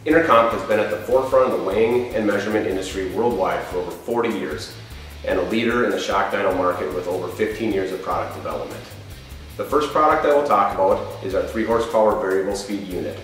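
Low-voiced man presenting in echoey room. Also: fast talker, fairly bright tone. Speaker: company product presenter. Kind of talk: product presentation and demonstration. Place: indoor workshop showroom. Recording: roomy echo, faint music behind.

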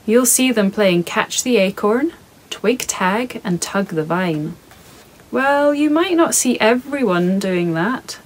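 Slow, breathy woman reading a story. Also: medium pitch. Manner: soft, calm and measured storytelling. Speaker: author reading her picture book. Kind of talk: children's picture-book read-aloud. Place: indoor home studio.

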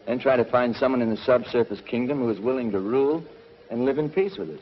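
An actor playing a calm, decisive space adventurer in a 1950s television serial. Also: male voice, medium pitch, quick pace, a little hiss or hum, lightly acted. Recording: dull 1950s TV soundtrack.

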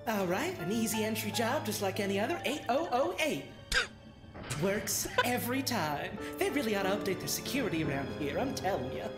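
A woman's voice: mid-range voice, very animated, fairly quick, natural voice. A woman voicing a brash, scheming bat thief in an improvised comedy fandub, casual, bossy and sassy. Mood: confident. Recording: music behind the voice.

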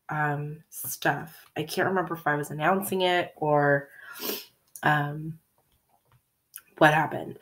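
A young woman, hoarse from a cold, chatting in a rambling, self-correcting way to a home webcam in her living room. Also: low-pitched, fairly bright tone. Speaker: vlogger. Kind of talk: casual personal catch-up vlog. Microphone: webcam at desk.

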